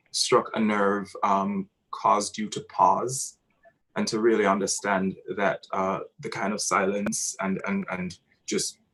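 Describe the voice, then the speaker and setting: Low, even-voiced Jamaican man, earnest. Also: poor audio. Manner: earnest, halting, searching for words. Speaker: theatre director discussing his work. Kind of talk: reflective talk about a creative piece.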